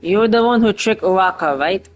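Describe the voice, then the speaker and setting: A man giving casual playthrough commentary as a gaming streamer, reacting in halting asides. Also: high voice, lo-fi sound, soft background music.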